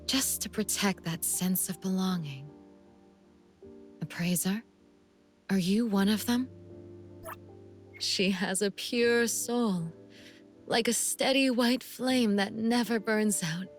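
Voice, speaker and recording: A woman playing a sly, menacing young female assassin in a video game, speaking in a teasing, deliberate manner. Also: mid-range voice, lightly acted. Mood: coy, probing. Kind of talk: scripted game character monologue.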